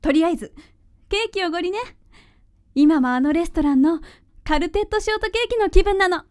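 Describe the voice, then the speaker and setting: A woman acting in a radio drama as a gentle, sincere young girlfriend. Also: very high voice, brisk. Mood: playful. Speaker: voice actor.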